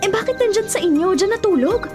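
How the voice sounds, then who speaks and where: High-voiced, hesitant young girlfriend. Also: lively intonation, fast talker. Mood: flustered. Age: young adult. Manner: hesitant, pleading. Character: hesitant, sweet young woman, secret girlfriend. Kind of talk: radio drama acting. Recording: music behind the voice. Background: music.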